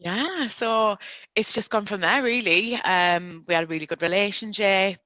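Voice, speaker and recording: Bright-voiced Geordie woman. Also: medium pitch, moderate pace, dry recording, dull audio. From Newcastle, England. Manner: friendly, explains steadily with fillers. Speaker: recruitment consultant guest. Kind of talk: casual podcast interview.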